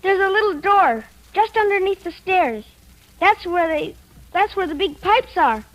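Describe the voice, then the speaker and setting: A woman's voice: very high-pitched, animated, measured pace, low-quality recording. A woman playing a distraught, haunted young boy, plaintive and insistent, in an old-time radio drama. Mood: earnest, insistent. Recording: vintage radio drama recording.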